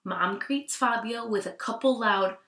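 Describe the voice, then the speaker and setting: A woman teacher reading a picture book aloud to children, expressively, with hushed asides. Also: a little high, unhurried. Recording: roomy, echoing sound.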